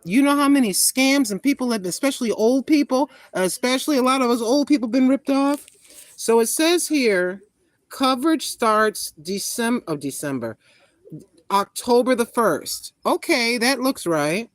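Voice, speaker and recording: A woman in her sixties chatting casually with viewers on a live stream, greeting them by name and talking her thoughts through out loud. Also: a little high, lively intonation, moderate pace, no room sound. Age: 60s. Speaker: vlogger greeting live chat.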